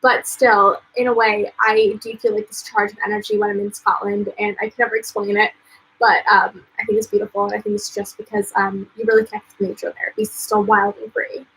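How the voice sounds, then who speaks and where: A young American woman, an author, chatting casually on a live stream, full of "um"s and "like"s and quick laughs. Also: mid-range voice, little pitch movement, a bit bright, slight background noise, low-quality recording. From United States. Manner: chatty, lots of "um" and "like", laughs often. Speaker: author and vlogger.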